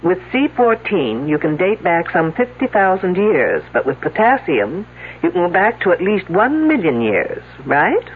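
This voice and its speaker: A woman's voice: on the low side, sing-song, unhurried, slightly rough, narrow, tinny audio, exaggerated voice. A woman playing a wry, unflappable, wisecracking lady detective in a 1970s radio drama. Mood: curious. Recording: thin band-limited old radio, noisy.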